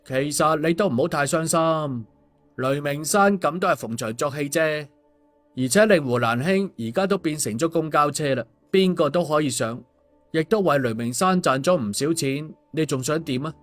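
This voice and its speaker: A man's voice: a little high, moderate pace, fairly bright tone, close to an everyday voice. Man voicing a glib, cheeky schemer over faint music. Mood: soothing. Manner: teasing, mock-sincere, exclamatory. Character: glib, cheeky male schemer needling a woman. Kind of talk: audiobook dialogue.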